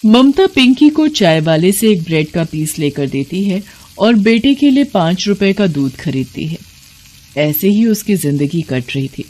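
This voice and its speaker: Woman narrating a bedtime moral tale, very animated pitch, normal register, little noise behind. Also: a little slow. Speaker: story narrator. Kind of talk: scripted moral story narration.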